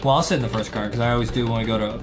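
A man in his twenties hosting a gaming video, giving excited, playful commentary with casual banter and repeated catchphrases. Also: medium pitch, racing. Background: background music.

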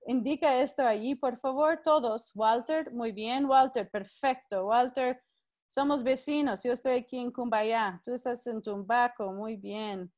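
A woman's voice: a little high, unhurried, darkish tone. A woman leading a live online class over a video call, greeting participants by name and giving friendly instructions.

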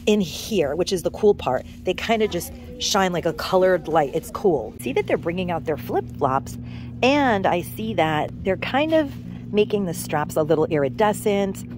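A woman's voice: fairly low pitch, a little hiss or hum. A woman hosting a shopping vlog, chatting enthusiastically about products as she walks store aisles with a handheld phone. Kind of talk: casual shop-with-me chat to camera. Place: discount store aisles, indoors.

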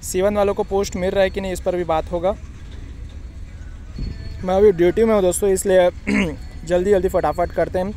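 Young male coaching host, high and quick, informal explainer on a phone outdoors, faint traffic behind. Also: a little mellow, poor audio. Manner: brisk, repeatedly addresses viewers as friends. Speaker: exam coaching channel host. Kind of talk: fast informal exam-update explainer. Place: outdoors beside a railway track.

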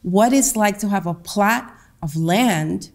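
A middle-aged woman from Honduras, a Garifuna speaker, giving a public talk from a lectern in a meeting room, with a slow, emphatic delivery. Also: medium pitch. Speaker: guest speaker. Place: meeting room with a seated audience. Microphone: lectern microphone.

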